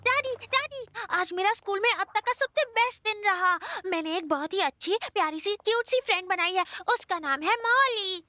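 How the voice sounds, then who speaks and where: Very high, bright little cartoon girl. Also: lively intonation, normal speed, hardly any pauses, exaggerated voice. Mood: gushing. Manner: gushing, childlike. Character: excitable, sweet little girl, affectionate and girlish. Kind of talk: animated cartoon dub acting.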